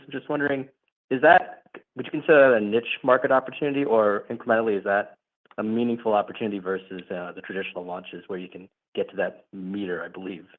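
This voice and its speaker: A male financial analyst asking questions on a conference call in an unscripted, conversational way. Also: mid-range voice. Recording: thin phone line.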